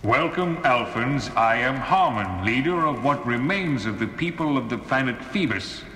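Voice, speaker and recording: An actor playing a courteous alien leader in an audio drama, speaking in a formal, courtly way. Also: male voice, mid-range voice, lively intonation, moderate pace, sharp tone. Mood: solemn, courteous. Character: courteous male alien leader of a dying people. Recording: echoey hall reverb, faint noise.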